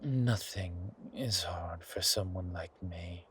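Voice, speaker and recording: A man playing a suave, centuries-old vampire in a horror ASMR roleplay, languid and genteel with quiet menace and a husky, breathy voice. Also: deep voice, slow pace, a little hiss or hum. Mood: quietly menacing.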